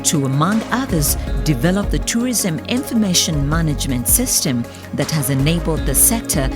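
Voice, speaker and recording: A woman delivers scripted documentary narration in a fairly low voice. Music plays clearly behind her as she reads. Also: lively intonation, moderate pace. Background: background music.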